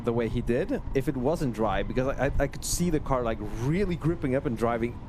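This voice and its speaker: Eager male drift commentator, loud track. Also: medium pitch, animated, brisk. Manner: enthusiastic, restarts often. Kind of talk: live motorsport commentary. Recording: loud engine and track noise. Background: drifting cars.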